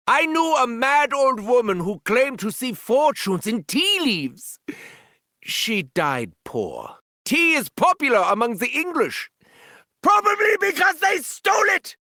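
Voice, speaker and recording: Unhinged, wildly animated German mad scientist. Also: male voice, very high-pitched, gravelly, no echo, studio quality, exaggerated voice. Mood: sly storytelling. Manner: theatrical, pompous, swinging from muttering to shrieking. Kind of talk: scripted video game voice lines.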